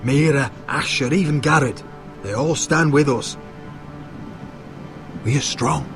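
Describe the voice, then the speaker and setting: A man's voice: fairly high pitch, takes it slowly. An actor playing a grim young nobleman in a fantasy video game, solemn, terse and fiercely protective of his family. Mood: resolute. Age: young adult. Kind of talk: dramatic video game acting. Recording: loud music behind the voice.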